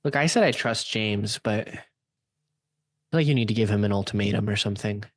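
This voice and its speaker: A man's voice: fairly low pitch, a little fast, no echo, studio quality, lightly acted. A man playing a guarded, gossipy, snobbish young woman in an improvised radio play, in casual conversational speech. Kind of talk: casual improvised phone-chat acting.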